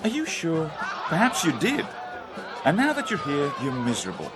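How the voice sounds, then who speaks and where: An actor playing a smug, jaunty twenties dandy with affected, needling politeness, in a radio drama. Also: male voice, fairly high pitch, huge pitch swings, moderate pace. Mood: needling, dismissive. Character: jaunty, smug 1920s dandy, the best friend. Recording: loud music and crowd behind.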